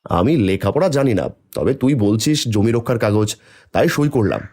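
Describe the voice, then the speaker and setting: A man's voice: lowish voice, lively intonation, a little fast. A man playing a kind, trusting, poor village shopkeeper in a cartoon, speaking earnestly. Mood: kindly, trusting. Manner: earnest, gentle, plaintive when wronged. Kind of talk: cartoon dialogue acting.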